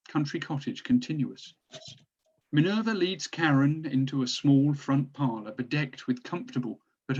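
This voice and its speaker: A man's voice: medium pitch, darkish tone, breathy edge. A man narrating stage directions from a drama script, recorded on dull, lo-fi audio.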